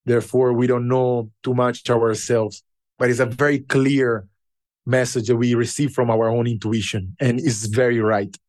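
A man who learned English later in life, a podcast guest telling his story in an unhurried, reflective way with pauses. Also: mid-range voice, flat delivery, darkish tone, no echo. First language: not English. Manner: unhurried and thoughtful, with pauses and fillers.

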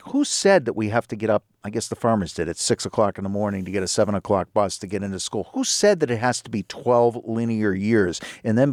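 A man's voice: lowish voice, darkish tone, no echo, studio quality. A man in his fifties hosting an interview, talking in an earnest, reflective way with many restarts. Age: 50s. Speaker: podcast host. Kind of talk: conversational interview.

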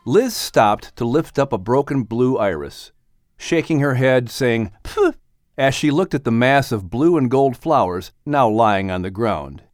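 Animated male storyteller with music. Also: medium pitch, measured pace, no echo, studio quality. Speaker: audiobook narrator and announcer. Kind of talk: scripted storytelling narration.

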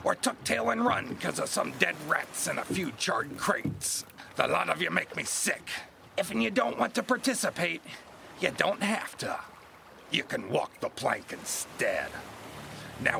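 An actor playing a harsh, domineering pirate sea captain in an audio drama, gruff and threatening. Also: male voice, a little high, noisy background, heavily acted. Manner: gruff, commanding, threatening, sneering.

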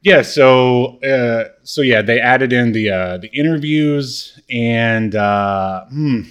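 A man co-hosting a podcast, chatting casually and thinking aloud in a halting way. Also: mid-range voice, a bit bright, smooth. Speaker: podcast co-host. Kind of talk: casual chat about obscure media.

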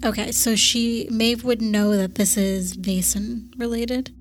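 A woman playing a tabletop roleplaying game in a fairly high voice, politely questioning others in character. She moves between narrating her actions and speaking as her character, over faint music. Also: fairly even intonation, slow pace. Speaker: player.

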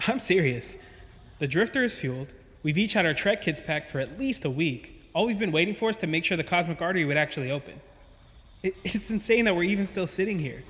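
A man's voice: high voice, expressive, brisk, a bit bright. A man playing an eager, impatient, cheeky scientist in an audio drama, with a pushy, jokey delivery. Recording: long reverb, faint background noise.

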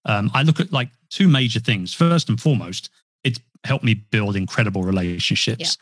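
A man's voice: on the low side, animated, moderate pace, breathy edge, dry recording. A man chatting as a podcast guest, rambling and self-deprecating with frequent restarts. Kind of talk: casual interview talk. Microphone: podcast mic.

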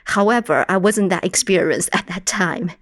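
A woman with a Mandarin Chinese accent, a native Mandarin speaker from China, explaining her work in a measured way as a podcast guest. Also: fairly low pitch, no echo, clean studio sound. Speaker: guest linguistics researcher. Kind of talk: podcast interview.

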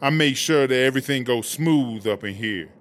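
A man's voice: medium pitch, normal speed, a bit bright, slight background noise, close to an everyday voice. A man playing a brash, vain female inmate who bosses a cell block with sassy, slangy talk in a comedy audio drama. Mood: bossy.

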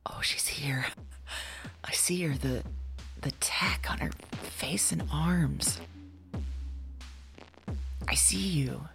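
A woman performing an audio drama monologue as an anxious, confessional figure, halting and hushed, sometimes slipping into whispers. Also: deep voice, vocal fry, soft background music, heavily acted. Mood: hushed, urgent. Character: anxious confessional woman recording her visions of a future woman.

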